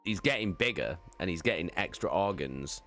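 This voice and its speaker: A man's voice: deep voice, animated, moderate pace, a bit bright, soft background music. A man, chatting loosely through a book recap on a livestream, with fillers and the odd swear word. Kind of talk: casual book recap chat.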